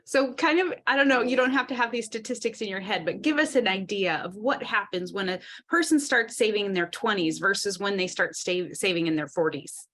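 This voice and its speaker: A woman's voice: mid-range voice, brisk, few pauses, a bit bright. Enthusiastic woman podcast host. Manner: enthusiastic, affirming. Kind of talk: podcast interview.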